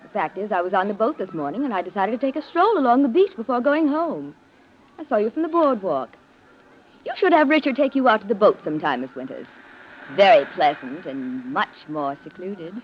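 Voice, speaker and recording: Cool, formal, scheming woman played by an actress for 1940s radio play, mid pitch, dull sound with faint noise. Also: big pitch swings, brisk, lightly acted. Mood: coolly polite. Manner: formal, pointed, icily polite. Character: cool, scheming, icily polite female cousin. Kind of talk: 1940s radio drama acting. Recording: muffled noisy old radio recording.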